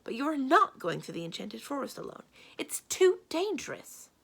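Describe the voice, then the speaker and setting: A woman's voice: sits high, wildly swooping pitch, normal speed, vocal fry, fairly bright tone, husky, pitched above the performer's own voice, full character voice. A woman voicing a proud, protective young forest king in a storybook, exasperated and emphatically objecting. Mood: appalled, emphatic. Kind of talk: storybook read-aloud.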